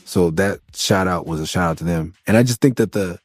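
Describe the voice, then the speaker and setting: A man's voice: sits low, brisk, soft background music. A man from Los Angeles with a nasal voice, hosting a podcast and telling loose, profane stories about making his album. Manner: loose, profane, animated retelling with quoted voices. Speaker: podcast host and rapper.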